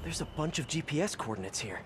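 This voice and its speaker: An actor playing a young, quippy superhero sleuth in a video game, wryly thinking aloud. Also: male voice, fairly high pitch, expressive, fast talker, background noise, close to an everyday voice. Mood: curious, wry. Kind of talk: game cutscene acting.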